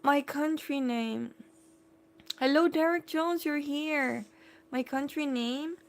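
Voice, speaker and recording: A woman's voice: sits high, slow pace. A woman in her thirties from the Philippines, chatting casually with viewers on a live stream from home and answering their comments. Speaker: live-stream host, mother.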